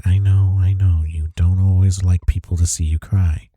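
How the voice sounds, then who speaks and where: Devoted boyfriend for comfort audio roleplay, played by a male actor: low, very dark warm voice, soft-spoken, gentle, reassuring. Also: flat delivery, moderate pace, dry recording. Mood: soothing, hushed.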